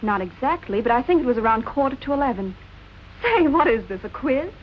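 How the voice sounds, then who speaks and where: An actress playing a brisk, loyal nightclub woman in 1940s film noir style. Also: high voice, sing-song, dull audio, slight background noise. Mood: brisk, curious.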